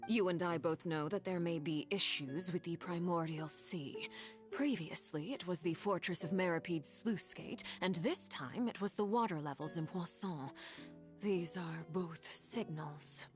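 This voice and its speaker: A woman playing a formidable, composed commander who speaks formally and deliberately in a video game. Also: lowish voice, soft background music, natural voice. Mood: calm, resolute. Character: composed, formidable female commander of a powerful organization.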